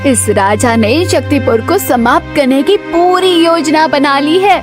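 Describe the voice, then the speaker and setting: Music plays behind a woman acting an indignant townswoman in a cartoon tale. She complains warily in a high-pitched voice. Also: a little slow. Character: indignant townswoman, wary and resigned.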